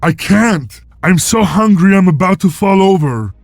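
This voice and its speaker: An actor playing an anxious, excitable young wolf-clan slave in a video game, with a pleading manner. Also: male voice, high-pitched, measured pace, no echo. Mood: frightened pleading. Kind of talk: video game cutscene acting. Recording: music behind.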